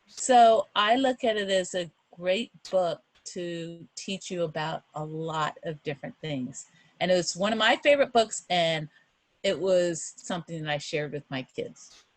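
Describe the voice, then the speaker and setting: Middle-aged woman, unhurried pace, close dry sound, emphatic, encouraging explanations for kids. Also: lowish voice, animated, dry recording. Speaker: guest reader. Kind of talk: explanatory chat addressed to children and families.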